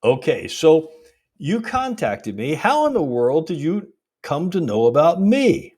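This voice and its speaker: A man hosting an interview podcast, talking conversationally in a measured, deliberate manner. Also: a little high, big pitch swings, a little mellow, breathy edge. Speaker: podcast host, executive coach.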